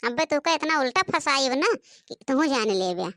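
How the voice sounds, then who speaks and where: A woman voicing a sharp-tongued, scolding village character in a comic cartoon, with an Awadhi accent. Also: high voice, lively intonation, normal speed, dry recording, studio quality. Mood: defiant. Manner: scolding, argumentative. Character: sharp-tongued, defiant village household members, old woman and boy.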